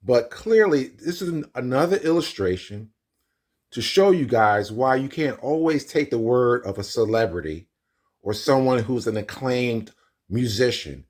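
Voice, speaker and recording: A man's voice: medium pitch, expressive, unhurried. A man delivers video commentary in an insistent, explanatory way, talking straight to his viewers. The sound is roomy and echoey, and he sits some way from the mic. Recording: roomy echo, distant from mic.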